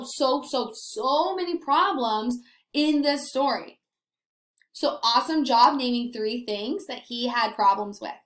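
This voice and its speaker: A young woman leading a lesson for small children. Her voice is high, with an animated, encouraging delivery and strong emphasis. The small room adds a clear echo. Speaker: teacher.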